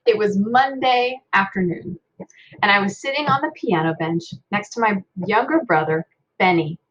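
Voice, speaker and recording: Very animated woman reading a children's story. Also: mid-range voice, normal speed, muffled recording. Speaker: read-aloud narrator. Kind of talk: expressive children's book reading.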